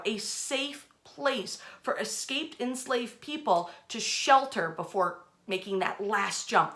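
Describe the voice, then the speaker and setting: A woman's voice: on the high side, a bit bright. A woman hosting a history storytelling session, speaking conversationally and repeating phrases for emphasis. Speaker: host, storyteller.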